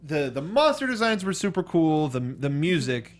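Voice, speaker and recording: Higher-pitched man co-hosting a casual talk show. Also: big pitch swings, normal speed. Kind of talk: casual chat about games and anime films.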